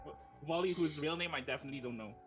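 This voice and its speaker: A man's voice: fairly high pitch, very fast, music in the background. A man chatting casually and reading aloud, dropping in playful, joking comments.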